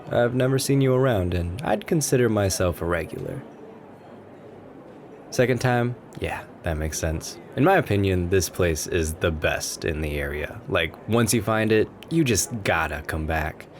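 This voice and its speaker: A man playing a shy, sweet, nervous writer chatting to a stranger in a café, in a gentle, rambling ASMR roleplay style. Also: sits low, expressive, moderate pace. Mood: shy, friendly. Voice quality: gentle, soft-spoken. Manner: anxious, rambling, earnest. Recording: noticeable coffee shop ambience noise. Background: coffee shop ambience.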